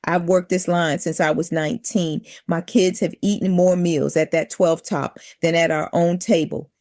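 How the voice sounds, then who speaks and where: A man's voice: fairly high pitch, little pitch movement, normal speed, darkish tone, no echo, studio quality, voice pushed up, natural voice. Man voicing an earnest, plainspoken woman cook. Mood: earnest, firm.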